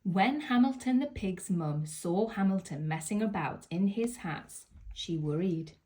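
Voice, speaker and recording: A woman telling a children's story aloud in an expressive storybook style. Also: medium pitch, unhurried. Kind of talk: expressive children's picture book read-aloud.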